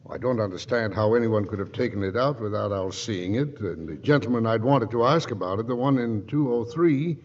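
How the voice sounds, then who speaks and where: A man's voice: lowish voice, brisk, few pauses, close to an everyday voice. A man playing a nervous, polite hotel manager in a 1940s radio comedy. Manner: fretful, polite. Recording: dull old radio broadcast.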